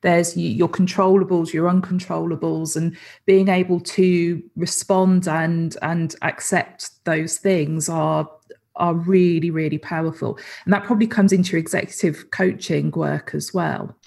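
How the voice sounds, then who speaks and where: A woman interviewer with a lowish voice speaks in a thoughtful, affirming way. She asks her questions in a relaxed, conversational tone. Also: measured pace, creaky. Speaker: interview host.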